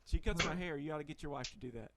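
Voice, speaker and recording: A man with a Texan accent hosting a casual livestream, relaxed and chatty, laughing often. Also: fairly high pitch, rapid-fire, over a noisy crowd. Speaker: host.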